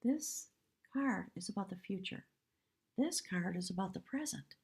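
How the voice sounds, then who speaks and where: A woman with a hoarse voice giving a live spiritual tarot reading in measured, reverent short phrases. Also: mid-range voice, animated. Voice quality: husky, breathy. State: hoarse from much talking. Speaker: tarot reader, live stream host.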